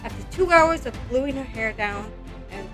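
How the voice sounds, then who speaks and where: A woman's voice: on the high side, expressive, normal speed, a bit bright, faint music behind. A woman narrating a casual craft vlog in a rambling, self-deprecating and exclamatory way, as the creator of doll customization videos.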